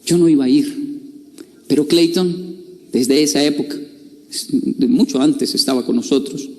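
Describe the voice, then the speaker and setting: A man's voice: on the high side, measured pace. Man telling a story in a hall. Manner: playful, confiding, acts out other people's voices. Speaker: speaker. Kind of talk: storytelling talk. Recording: long hall reverb.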